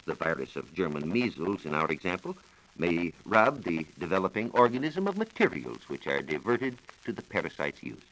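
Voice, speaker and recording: An American man giving a scripted science talk on 1940s radio, explaining in a measured, formal manner. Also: sits low, fairly bright tone, low-quality recording. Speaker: science reporter explaining research. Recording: old 1940s radio broadcast recording.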